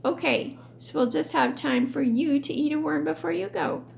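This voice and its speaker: A woman's voice: high voice, moderate pace, gravelly, a little hiss or hum. A woman voicing a stubborn, combative schoolboy who goads and pushes, in a children's storybook. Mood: pushy. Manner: pushy, goading. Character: stubborn, combative schoolboy determined to win a bet.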